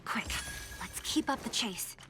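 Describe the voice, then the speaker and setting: A woman's voice: measured pace, noisy background, close to an everyday voice. A woman voicing a curious, cautious young heroine in a video game, thinking aloud in a hesitant manner. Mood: wary, urgent. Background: music.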